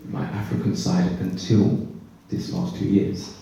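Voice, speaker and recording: A man on a conference panel telling his own story, reflective and pausing mid-thought. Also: deep voice, flat delivery, creaky, dark tone. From Britain. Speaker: panelist, care leaver. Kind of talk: personal testimony on a panel. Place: conference hall. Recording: echoey hall, voice far from mic.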